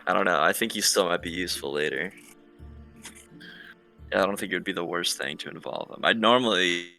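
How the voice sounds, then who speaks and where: Male tabletop player, deep voice with heavy fry and a hard bright edge, casual delivery. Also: brisk, soft background music. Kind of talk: casual in-game discussion.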